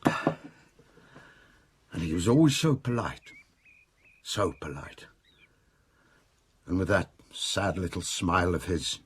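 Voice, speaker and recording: An actor playing a remorseful wealthy adulterer confessing to police in a radio drama, anguished, halting and full of self-reproach. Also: male voice, low-pitched, a bit bright, husky, full character voice.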